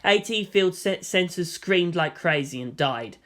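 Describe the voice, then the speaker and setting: A fan fiction reader narrating a long story in a steady, even storytelling voice, acting out the dialogue. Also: medium pitch, a little slow. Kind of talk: audiobook-style narration.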